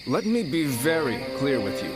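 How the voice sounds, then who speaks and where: A man playing a shrewd, commanding strategist of ancient China in a game cutscene, speaking formally and deliberately. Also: medium pitch, sing-song, over loud music, natural voice. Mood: stern, accusing. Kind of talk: video game cutscene acting.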